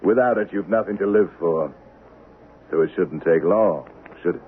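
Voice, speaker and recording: A vengeful Old West man acted by a man in a vintage radio play, with a deep voice and a measured, sardonic, taunting manner. The sound is narrow and tinny, with some hiss. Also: lightly acted. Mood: triumphant, contemptuous. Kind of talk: 1970s radio drama acting.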